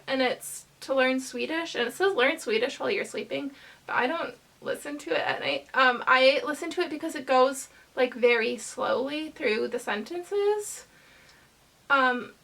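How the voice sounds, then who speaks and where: A woman vlogger chatting casually to camera, rambling hesitantly with lots of fillers and pauses. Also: sits high, fairly bright tone.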